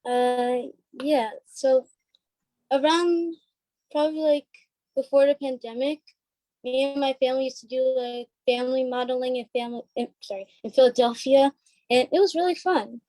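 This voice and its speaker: A woman with a high, mellow voice chats casually as a guest. Her delivery is hesitant and halting, and the sound is dry with no room echo. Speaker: cast member being interviewed. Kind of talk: casual chat.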